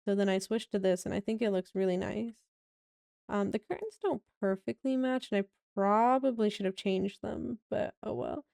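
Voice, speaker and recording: A young woman with a raspy voice, casually narrating a tour of a house she built in a game, rambling with many fillers. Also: mid-range voice, moderate pace, mellow, dry recording, clean studio sound.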